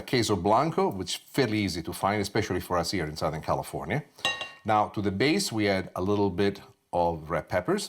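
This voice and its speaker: A man hosting a cooking show from his kitchen as a TV chef, speaking with passion and expression and often pausing on "uh". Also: fairly low pitch. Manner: passionate, expressive delivery with frequent "uh" fillers. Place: home kitchen.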